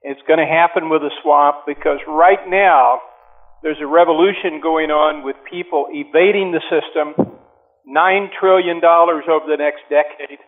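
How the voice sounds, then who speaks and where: A man speaking as an interview guest over the telephone, explaining his views in a deliberate, emphatic way with pauses. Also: a little high, steady tone. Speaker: advocacy group president, interview guest. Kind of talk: phone interview, explanatory opinion talk. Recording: narrow-band tinny phone line.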